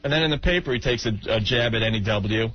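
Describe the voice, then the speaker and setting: A man's voice: mid-range voice, fast. Mocking male talk radio host, muffled tape. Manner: mocking, aggrieved. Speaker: radio show host taking calls. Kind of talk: unscripted talk radio banter. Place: radio studio. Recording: dull, noisy old radio tape.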